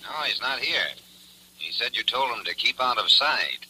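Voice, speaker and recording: Sharp, bright desk officer. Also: male voice, medium pitch, lively intonation, normal speed, slightly rough, heavily acted, rough recording. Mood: businesslike. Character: dutiful desk officer answering the station phone. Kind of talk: 1940s radio acting.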